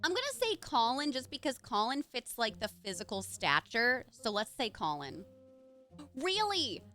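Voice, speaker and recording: A woman streaming a game live, chatting excitably with her viewers, with faint music behind her. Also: on the high side, moderate pace. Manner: chatty, warm to chat, excitable.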